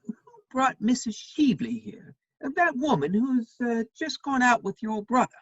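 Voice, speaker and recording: Woman doing an idle dandy lord, husky, highish, flippant, slightly muffled mic, period comedy. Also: moderate pace. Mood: flippant, teasing. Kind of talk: dramatic reading of a period stage comedy.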